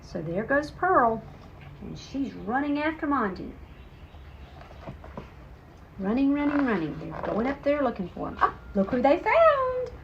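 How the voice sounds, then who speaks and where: Woman, children's storyteller, high voice, very lively intonation, read-aloud with asides, slightly muffled recording. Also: measured pace, gravelly, pauses often, noisy background.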